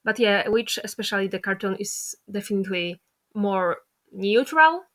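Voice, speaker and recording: Bright-voiced woman interviewer. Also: mid-range voice, animated, moderate pace. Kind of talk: casual interview chat.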